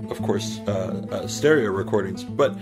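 A man, a native English speaker, thinking aloud haltingly as he gives a reflective interview account. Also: fairly low pitch. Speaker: interviewee, music archivist and field recordist. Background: guitar music.

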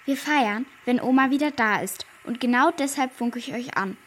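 A woman playing a plucky, eager girl who briskly organises her friends in a German children's radio drama. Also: high voice, slight background noise, close to an everyday voice. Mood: eager, determined.

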